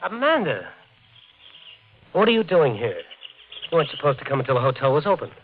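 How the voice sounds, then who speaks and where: An actor in an old-time radio drama playing a brash, driven American developer, fast-talking, slangy and cocksure. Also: male voice, on the high side, sing-song, a little hiss or hum. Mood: tense, impatient. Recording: muffled 1950 radio broadcast, faint music.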